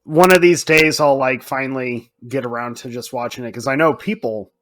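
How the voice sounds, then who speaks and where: A man's voice: medium pitch, normal speed, fairly bright tone. A man co-hosting a podcast, chatting casually with strong opinions in colloquial, emphatic language. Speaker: podcast co-host.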